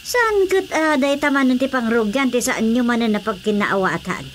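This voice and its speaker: An actress plays a village aunt getting on in years, full of exclamations and placating advice. The voice is on the high side with an Ilocano accent, acted for radio drama, with slight background noise. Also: female voice, animated, moderate pace, lightly acted. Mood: evasive, placating. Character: Nana Suping, older gossipy village aunt, exasperated peacemaker.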